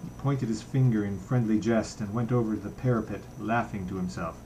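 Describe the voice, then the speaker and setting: A man's voice: on the low side, steady tone, fairly quick, dark tone, rough recording. Man reading a novel, background noise. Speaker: audiobook reader. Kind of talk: literary narration, reading a novel aloud.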